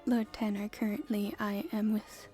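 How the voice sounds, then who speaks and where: A woman playing a proper young princess in an ASMR roleplay, speaking formally and hesitantly in a soft, breathy half-whisper. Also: mid-range voice, level tone, normal speed, faint music behind, close to an everyday voice. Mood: politely strained. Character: proper young princess at her debut, stifled, secretly rebellious.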